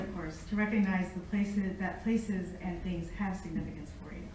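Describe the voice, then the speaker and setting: A middle-aged American woman speaks to a room in an informal lecture. Her delivery is conversational, with a medium pitch, and she is picked up from a distance in a very echoey space with faint noise. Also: warm tone. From United States, born in Japan. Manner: conversational, engages the audience with questions. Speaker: academic guest speaker, Latin researcher. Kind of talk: informal public lecture with personal storytelling.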